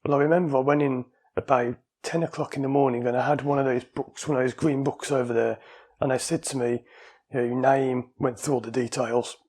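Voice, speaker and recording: UK man, husky, mid pitch, casual rambling vlogger who keeps correcting himself. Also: steady tone, fairly quick, a little mellow. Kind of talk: casual talking-to-camera vlog.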